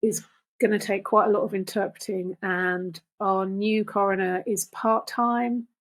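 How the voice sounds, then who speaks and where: An older woman, a podcast guest, talking reflectively and earnestly in deliberate phrases. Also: mid-range voice, steady tone. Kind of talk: reflective conversational talk.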